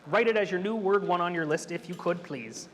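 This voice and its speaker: Guy leading a workshop, kinda high voice, chatty and nerdy, big reverby hall. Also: brisk, heavy background noise. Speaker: workshop presenter. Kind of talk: interactive workshop talk. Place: hall with an audience.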